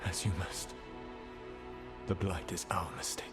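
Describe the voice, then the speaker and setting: A man playing a proud, principled elven rebel in a video game, speaking formally and earnestly with grave, wounded conviction. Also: sits low. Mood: grave, pleading. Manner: formal, measured, earnest speech. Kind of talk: dramatic video game acting. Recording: music behind the voice.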